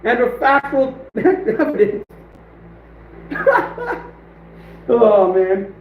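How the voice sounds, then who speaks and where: Livestream host, man, high voice, hoarse, echoey room on lo-fi audio. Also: wildly swooping pitch, drawn-out words, a little hiss or hum. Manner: laughing, reacting to chat. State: losing his voice. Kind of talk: casual livestream chat.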